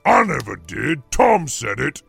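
A man voicing a belligerent, brutish troll with a rough, coarse voice in a storybook reading. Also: fairly high pitch, sing-song, measured pace, fairly bright tone, faint music behind, heavily acted. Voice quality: rough, gravelly. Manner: blustering, coarse rustic speech. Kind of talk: audiobook character voice.

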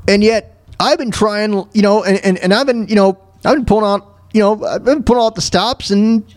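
A man with a notably high voice hosts a personal rant. He sounds frustrated and dejected, circling back over his phrases haltingly and leaning hard on the words he repeats. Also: darkish tone, no room sound. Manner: halting, repetitive, emphatic. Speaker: podcast host.

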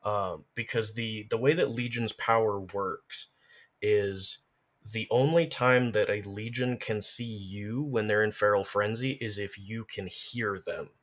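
A man speaks in a lowish voice. He explains things in an easy conversational way, as in a casual podcast discussion. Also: steady tone, a little slow. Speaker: podcast guest. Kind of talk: casual podcast discussion of game lore and strategy.

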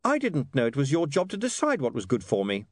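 A man's voice: mid-range voice, huge pitch swings, brisk, no room sound, studio quality, pitched above the performer's own voice. A man voicing a stubborn, nervy young newspaperman in an audiobook, clipped and supercilious. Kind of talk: audiobook character dialogue.

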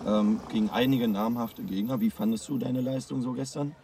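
A male podcast host, a bit hoarse, talking upbeat and slangy while interviewing a guest. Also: mid-range voice, steady tone, moderate pace, far from the mic, noisy background. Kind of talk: casual interview chat.

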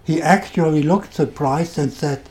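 An older man with a German accent, telling his own story on a podcast in a deliberate, wry and dryly sarcastic way. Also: fairly high pitch, a little mellow. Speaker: podcast host. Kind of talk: first-person storytelling podcast.

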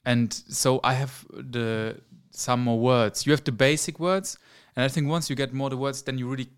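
A man from Germany, one of the podcast hosts, talking casually in a mid-range voice. He keeps chiming in with quick agreement and eager asides. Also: medium pitch, steady tone, clean tone. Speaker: podcast host. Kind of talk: casual conversation.

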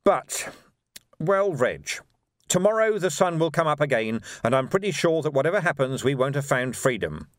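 A man voicing a gruff, world-weary police sergeant in an audiobook, dry and blunt. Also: on the high side, very animated, normal speed, no room sound, voice pushed up. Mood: wry.

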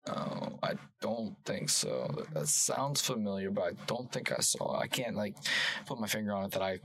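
A man's voice: fairly low pitch, lively intonation, moderate pace, fairly bright tone, breathy edge, dry recording. Young male host, 20s, loose joker, dry close-mic podcast read. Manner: loose, joking, talks to the chat. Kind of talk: casual podcast chat.

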